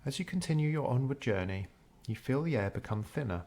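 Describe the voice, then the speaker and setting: A man narrating a scripted guided meditation in a measured, unhurried storytelling way. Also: medium pitch, animated, a little mellow.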